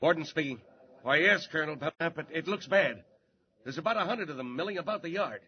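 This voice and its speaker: Guy doing an anxious warden, high strained voice, urgent orders, old lo-fi radio. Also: lively intonation, fast talker, natural voice. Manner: urgent, pleading. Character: anxious male prison warden. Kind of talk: 1940s radio acting. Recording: lo-fi 1940s radio transcription.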